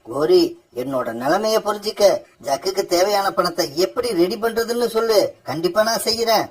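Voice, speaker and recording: A man's voice: sits high, moderate pace, breathy edge, distant mic, poor audio. A man voicing a henpecked thieving father crow, eager to please, in a cartoon fairytale. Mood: pleading. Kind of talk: animated cartoon fairytale dialogue.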